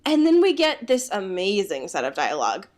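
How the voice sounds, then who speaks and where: Animated woman co-hosting a casual podcast. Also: medium pitch, moderate pace. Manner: sarcastic, exasperated commentary peppered with swearing. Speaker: podcast co-host. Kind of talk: casual book discussion chat.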